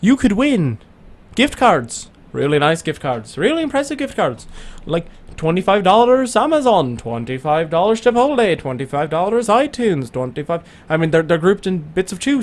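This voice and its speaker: Playful high-voiced young man. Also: sing-song, moderate pace. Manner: playful, jokey, rambling. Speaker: radio show co-host. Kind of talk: casual banter.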